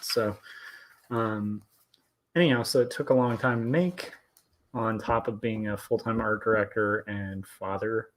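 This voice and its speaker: A man in his thirties, a comic artist chatting casually on a live stream, talking quietly and loosely so he won't wake his household. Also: on the low side, a little hiss or hum. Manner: soft-spoken, keeping his voice down late at night; loose and rambling, full of likes and ums. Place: home studio at night.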